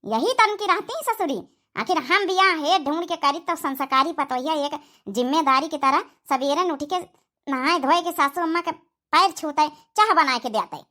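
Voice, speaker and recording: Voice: high voice, moderate pace, sharp tone. A performer voicing a bossy, scolding older village mother-in-law in an Awadhi comedy cartoon, domineering and sarcastic. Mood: grumbling. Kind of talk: rustic comedy cartoon dialogue.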